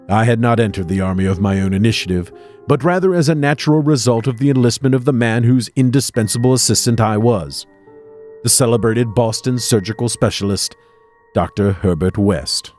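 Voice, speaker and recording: Low male voice, an actor as haunted army doctor, grave formal delivery, gothic horror audio drama, faint music. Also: a little mellow, lightly acted. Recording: music under the voice.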